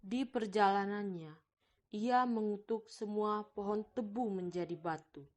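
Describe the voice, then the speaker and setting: Woman narrating a folktale, medium pitch. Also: measured pace, a little mellow.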